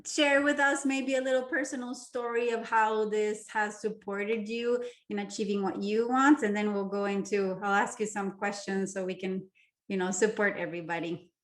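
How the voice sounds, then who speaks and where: A woman's voice: medium pitch, fairly even intonation, normal speed, reverberant. A woman hosting an interview, enthusiastic and laughing often; her first language is Spanish. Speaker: interview host. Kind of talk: casual interview chat.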